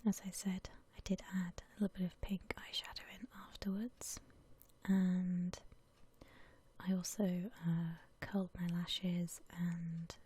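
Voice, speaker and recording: A woman speaks in a very breathy, soft whisper with a dark, mellow tone. She keeps it gentle and unhurried, staying within a narrow, even range as she chats casually in ASMR style. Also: on the low side. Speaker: ASMR video creator. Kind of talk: whispered ASMR, casual makeup chat voice-over.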